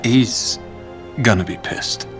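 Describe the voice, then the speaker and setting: An actor playing an easygoing, wisecracking adventurer in a video game, casual and dry. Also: male voice, medium pitch, takes it slowly, over music, natural voice. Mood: guarded. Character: easygoing, wisecracking male adventurer, confident improviser. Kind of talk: video game cutscene acting.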